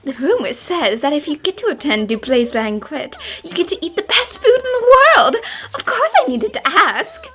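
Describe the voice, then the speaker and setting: A high, very animated young female detective played by a woman, eager and inquisitive, with a love of food. The delivery is perky cartoon game dubbing over background music. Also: normal speed, hardly any pauses. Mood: excited. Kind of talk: fan dub game voice acting.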